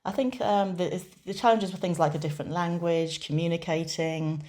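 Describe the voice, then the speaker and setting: A woman interpreting a deaf guest's answers in the first person, measured, with frequent pauses and restarts. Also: lowish voice, a little mellow.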